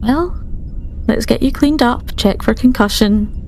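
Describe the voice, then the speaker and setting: A woman voicing a calm, dry, matter-of-fact starship doctor in a tabletop roleplay. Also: fairly high pitch, a little slow, vocal fry, slight background noise. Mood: dry, professional.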